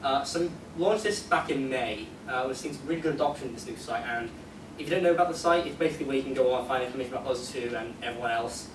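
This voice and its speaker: A man's voice: mid-range voice, fast. Informal man presenting in echoey room. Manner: informal, self-deprecating. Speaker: panellist, website developer. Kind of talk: casual convention presentation. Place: convention panel room indoors. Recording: echoey, distant, noisy room.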